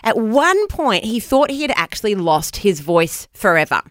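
A woman co-hosting breakfast radio, bubbly and quick to laugh. Her voice sits mid-range and is recorded close and dry in a studio. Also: medium pitch, very animated, no echo. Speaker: radio show co-host. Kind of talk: casual breakfast radio chat. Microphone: studio microphone.

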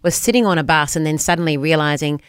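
A woman's voice: sits low, quick pace, clear, resonant tone, no room sound, studio quality. Enthusiastic New Zealand woman on radio. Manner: enthusiastic, reflective, reads scripture aloud. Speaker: radio host. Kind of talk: conversational Bible discussion on radio.

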